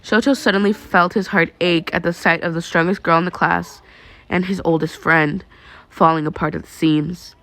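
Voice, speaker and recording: A woman's voice: on the low side, steady tone, moderate pace, fairly bright tone. A woman narrating fan fiction in the style of an amateur audiobook.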